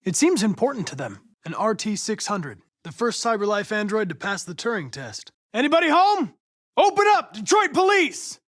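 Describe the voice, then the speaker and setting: A man's voice: high voice, sing-song, normal speed, a bit bright, dry recording, studio quality, full character voice. A man playing a polite, determined young android police investigator in a video game, with formal, precise, controlled diction. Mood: polite, composed, then urgent, loud.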